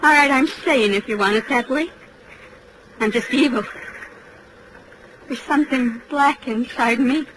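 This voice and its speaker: A woman's voice: high-pitched, a little slow, long pauses. An actress playing a troubled, haunted young woman in an overwrought, melodramatic 1940s radio detective drama style, on a noisy old recording. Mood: brooding, confessional. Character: troubled, haunted young woman who believes herself evil.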